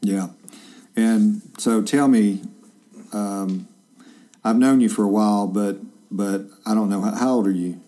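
A man's voice: lowish voice, steady tone, measured pace, warm tone. A man in his fifties, a journalist hosting a casual interview, chatty and rambling with lots of fillers and restarts. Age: 50s.

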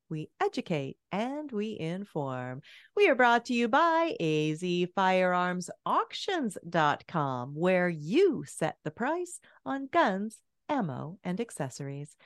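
A woman's voice: medium pitch, no room sound, clean studio sound. A woman hosting a talk radio show, upbeat and chatty, with some fillers as she introduces the guest. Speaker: podcast host.

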